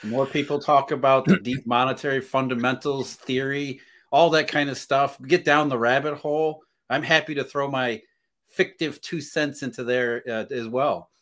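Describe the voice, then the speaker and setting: A man's voice: mid-range voice, fairly even intonation, normal speed, fairly bright tone. A man speaking as a podcast guest, earnest and thinking aloud with restarts. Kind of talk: casual podcast conversation.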